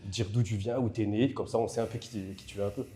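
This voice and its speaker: A man's voice: fairly low pitch, steady tone, fast talker, mellow. A young man hosting a casual podcast interview, friendly and a bit hesitant, leaning on fillers.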